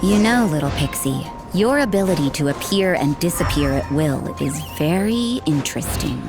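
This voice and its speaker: A watchful, blunt nun from a video game. Her voice sits low and she speaks with dry, unhurried sarcasm, aloof and cynical. Music plays clearly behind her. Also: expressive. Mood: suspicious, probing. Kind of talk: game voice acting. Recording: background music under the voice.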